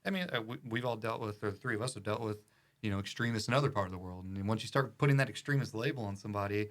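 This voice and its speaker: Low-voiced man in casual podcast talk. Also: rapid-fire, a bit bright. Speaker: podcast guest, attorney. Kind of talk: casual opinionated podcast chat.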